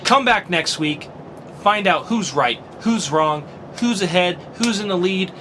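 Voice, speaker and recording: A man's voice: sits high, lively intonation, measured pace, a crowd in the background. A man hosting a casual podcast, thinking aloud and swearing casually as he reasons. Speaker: podcast host.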